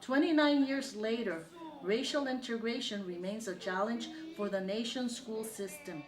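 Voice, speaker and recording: A woman professor giving a measured, formal scripted narration. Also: a little high, echoey room. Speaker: education professor presenting.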